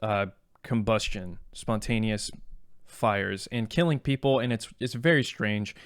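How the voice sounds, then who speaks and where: A man's voice: on the low side, a little slow, clear, resonant tone, no echo, clean studio sound. A man co-hosting a podcast, chatting casually about video games in a joking, self-deprecating way. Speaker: podcast co-host.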